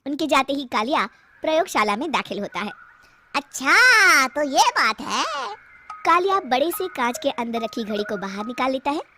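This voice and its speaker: A woman narrating a cartoon story in an animated storytelling manner. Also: high voice, brisk, faint music behind.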